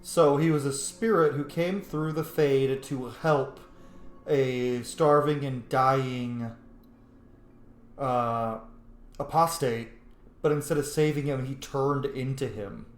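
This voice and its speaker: A man's voice: mid-range voice, fairly even intonation, takes it slowly, echoey room. A man giving casual commentary on a game he plays, informal and sweary, thinking aloud. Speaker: gamer commentating on his playthrough.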